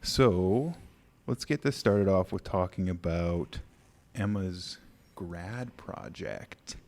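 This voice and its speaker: A young man hosting a student podcast, relaxed and playful in casual chat. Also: deep voice, slow pace, creaky. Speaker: podcast host.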